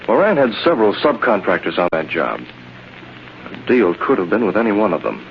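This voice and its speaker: An actor playing a cool, commanding masked vigilante in clipped, authoritative vintage radio drama style. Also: male voice, on the low side, wildly swooping pitch, brisk, vocal fry, exaggerated voice. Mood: businesslike, resolute. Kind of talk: 1930s-40s radio drama acting. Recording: muffled, noisy vintage radio broadcast.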